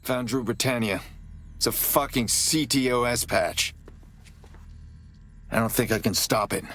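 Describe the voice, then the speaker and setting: An actor playing a gritty, defiant resistance fighter in a video game, terse and dry, with a gravelly husky voice. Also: male voice, mid-range voice, a little slow, a bit bright, dry recording. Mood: blunt, tense. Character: blunt, defiant, gritty male resistance operative. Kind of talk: video game cutscene dialogue.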